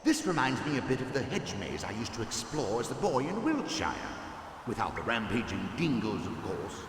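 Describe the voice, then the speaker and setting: Man playing a theatrical posh Englishman, swooping pitch. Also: very animated, normal speed, lightly acted. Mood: wry, nostalgic. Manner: pompous, theatrical, old-fashioned exclamations. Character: chatty, skittish, posh Englishman, an adventurer's companion. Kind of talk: video game character acting. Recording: echoey cave reverb, distant, noisy game audio.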